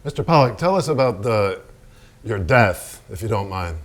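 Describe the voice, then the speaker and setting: Guy playing a solemn formal interviewer type in a stage drama, lowish voice, deliberate pauses. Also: lively intonation, lightly acted. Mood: polite, probing. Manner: deliberate, formal, pausing phrases. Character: measured, solemn male narrator and polite interviewer. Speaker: stage actor. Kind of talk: theatrical staged reading.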